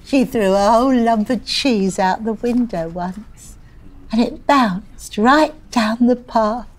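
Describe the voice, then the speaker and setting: Slow-spoken older widowed mother. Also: on the high side. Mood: wistful pleading. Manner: rambling, plaintive, deadpan wit. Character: grieving older widowed mother, wry, pleading and stubborn.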